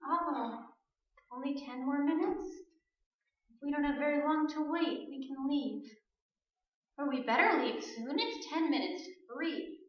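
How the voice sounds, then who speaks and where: A woman's voice: high-pitched, a little slow, pauses often, a little hiss or hum. A woman playing a dazed, fretful young survivor in shock, vague and repetitive, in a radio play. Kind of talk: staged radio play acting. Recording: echoing stage, dull sound.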